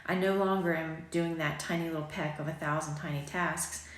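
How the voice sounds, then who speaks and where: A woman in her forties with a low voice and a slight morning rasp, chatting casually with viewers and rambling with plenty of ums. She talks into a phone held at arm's length in a sunny kitchen, and the room gives her voice a noticeable echo. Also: steady tone, normal speed. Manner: chatty, rambling, self-deprecating, with frequent ums. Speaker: boutique owner vlogging. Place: sunny home kitchen, indoors. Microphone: phone camera at arm's length.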